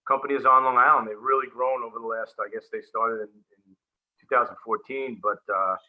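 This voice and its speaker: A man's voice: medium pitch, steady tone, brisk. Joking man co-host, muffled call audio. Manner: joking, easygoing banter. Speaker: podcast co-host. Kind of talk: casual video-call chat show. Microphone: video call.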